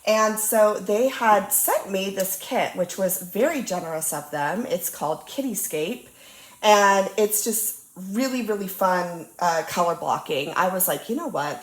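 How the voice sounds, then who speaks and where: A young woman with a cold-stuffed, slightly nasal voice chats cheerfully, full of ums and uhs. She sounds relaxed and friendly at a crafting table. Her voice bounces off a roomy space. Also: mid-range voice. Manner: bubbly, chatty, lots of ums and uhs. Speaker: diamond painting channel host. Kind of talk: casual crafting chat. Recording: roomy echo.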